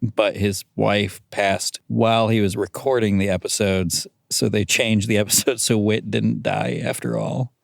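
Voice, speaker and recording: A man's voice: on the low side, steady tone, measured pace, gravelly, hardly any pauses, no room sound, studio quality. A man chatting casually as a guest on a podcast. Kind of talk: casual chat.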